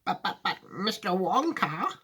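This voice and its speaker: A man's voice: sits high, very animated, measured pace, sharp tone, slightly rough, voice pushed up, heavily acted. A man reading a storybook aloud, voicing an astonished, incredulous old grandfather. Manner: stammering, incredulous.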